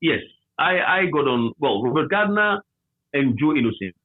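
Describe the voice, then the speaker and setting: A Ghanaian man reminiscing conversationally as an interviewee, with a husky voice and a habit of repeating phrases for emphasis, over a tinny line. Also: fairly high pitch, very animated, normal speed, dry recording. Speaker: interviewee, former campus radio manager.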